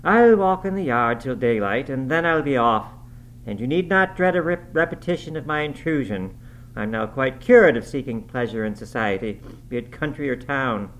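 A man voicing a prim, wordy, self-important gentleman lodger, speaking formally and indignantly in an audiobook. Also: mid-range voice, lively intonation, moderate pace, a little hiss or hum, natural voice, low-quality recording. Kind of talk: character dialogue in an audiobook reading.

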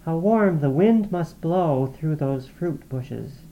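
A woman's voice: fairly low pitch, lively intonation, measured pace, muffled recording, voice pushed up. A woman voicing a curious young girl in an urgent, wondering way.

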